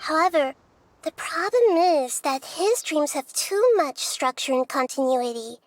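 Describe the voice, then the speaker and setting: A woman voicing a small, childlike goddess in a video game, who speaks in a soft, measured, analytical way. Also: high voice, expressive. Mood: pondering. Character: small childlike goddess, calm, curious and scholarly. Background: game soundtrack music.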